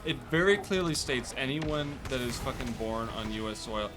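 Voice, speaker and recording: A man's voice: mid-range voice, animated, moderate pace. A man in casual conversation, opinionated and argumentative, swearing freely. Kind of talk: casual chat. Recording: very noisy, game gunfire behind. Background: video game gunfire.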